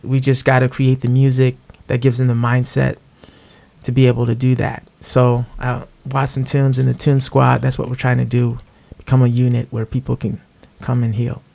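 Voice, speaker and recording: A man's voice: mid-range voice, completely flat delivery, normal speed, gravelly, warm tone. A man hosting a podcast in a casual, conversational way. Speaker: podcast host, music artist.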